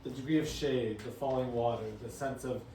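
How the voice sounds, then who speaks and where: Man, medium pitch, academic panel speaker, unscripted talk, echoey room, distant microphone. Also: fairly even intonation, brisk, mellow. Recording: echoey room, distant mic, noisy background.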